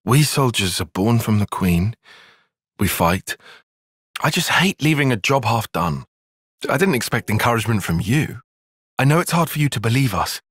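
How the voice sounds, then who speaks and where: A brainy young british soldier in a game, formal wording, bit husky, very up and down pitch, dry close sound. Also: male voice, sing-song, moderate pace, fairly bright tone, no echo. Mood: earnest. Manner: articulate, formal, analytical phrasing. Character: earnest, cerebral young male soldier and tactician. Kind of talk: scripted video game cutscene acting.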